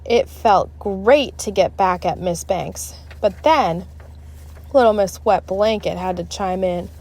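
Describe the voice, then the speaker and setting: Unhurried woman reading a children's story. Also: mid-range voice, a little mellow. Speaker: storybook reader. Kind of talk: expressive children's read-aloud narration.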